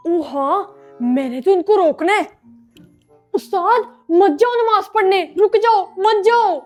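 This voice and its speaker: A woman's voice: very high-pitched, a little mellow, faint music behind. A woman playing a naive, bumbling young apprentice devil in a comic skit, earnest and eager to please. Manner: obedient, slow-witted, earnest. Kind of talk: comic family skit acting.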